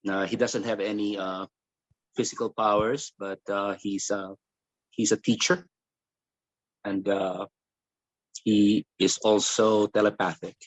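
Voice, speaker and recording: Low-voiced man, halting informal delivery. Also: little pitch movement, poor audio. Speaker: meeting participant. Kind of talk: halting informal self-introduction.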